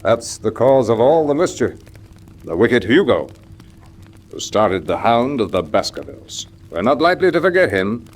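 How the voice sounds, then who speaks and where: A frank country baronet in a radio drama. He has a medium male pitch with lots of movement, and an agreeable, informal way of blurting out his thoughts. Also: big pitch swings, normal speed. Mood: genial.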